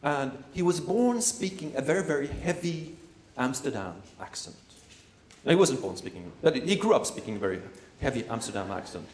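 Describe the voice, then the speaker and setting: A man's voice: mid-range voice, very animated, normal speed. A man giving a live performance lecture, rambling with many fillers and repeated words and tossing playful asides to the audience. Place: lecture room at an art academy. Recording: echoey room.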